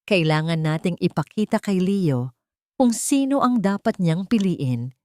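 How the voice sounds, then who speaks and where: A woman's voice: lowish voice, expressive, unhurried, darkish tone, no room sound. A woman voicing a snide, shallow young rich woman in a story, catty and teasing. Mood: scheming.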